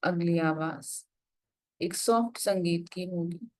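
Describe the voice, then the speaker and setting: A woman leading a guided meditation, giving instructions in an unhurried way with frequent pauses. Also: lowish voice, darkish tone, rough recording.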